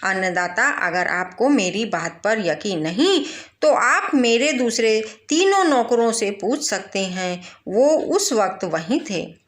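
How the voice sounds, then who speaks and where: A woman's voice: fairly high pitch, lively intonation, slow. A woman voicing a scheming wealthy master in a storybook tale, deferential and self-justifying. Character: scheming wealthy master, male, defending himself. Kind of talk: storybook character voice.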